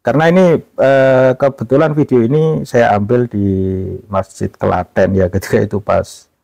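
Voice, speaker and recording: A man hosting a casual app tutorial, talking in a relaxed way and tagging "ya" onto most phrases. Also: medium pitch, moderate pace, vocal fry, dry recording. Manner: relaxed, repeats "ya" and fillers often. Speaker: tutorial host.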